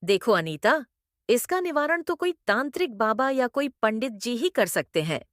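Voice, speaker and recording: A woman's voice: on the high side, very animated, moderate pace, no room sound, studio quality. A woman playing a reasonable, apologetic village headwoman in a dramatized story. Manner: explaining, apologetic.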